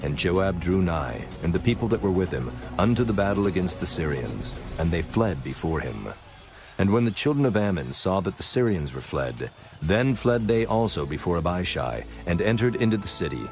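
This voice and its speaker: A man narrating scripture from a script in a solemn, measured manner. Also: low voice, sing-song. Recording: music bed under the voice.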